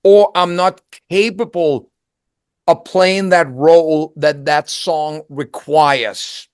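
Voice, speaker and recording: An older man with a Danish accent, speaking in a fairly high voice for a man. He talks reflectively, often restarting and repeating words as he searches for phrasing. The recording is dry and close. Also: fairly even intonation, slow, clear, resonant tone, no echo. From Denmark. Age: 60s. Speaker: interview guest, singer. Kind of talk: reflective conversational interview.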